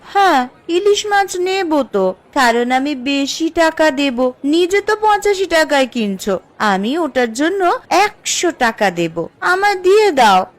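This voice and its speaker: A woman's voice: high-pitched, sing-song, measured pace. A woman voicing a competitive, emphatic housewife in a Bengali family cartoon. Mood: jealous, challenging. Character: jealous, competitive young housewife, quick to relent.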